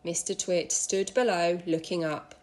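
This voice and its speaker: A woman reading a children's story aloud in an expressive narrating style. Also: fairly low pitch, a little slow. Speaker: school staff member reading aloud.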